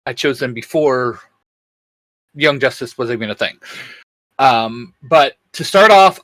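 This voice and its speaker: A man's voice: a little high, sharp tone, dry recording. A man co-hosting a geeky discussion show, talking casually as a fan. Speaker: co-host of a geek-culture discussion show. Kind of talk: casual fan chat about comics.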